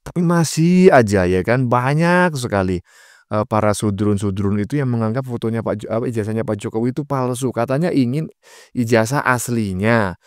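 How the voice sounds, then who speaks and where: A man's voice: mid-range voice, a little fast, no echo, clean studio sound. A man giving informal political reaction commentary in a mocking manner, full of rhetorical questions. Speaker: host of a reaction channel.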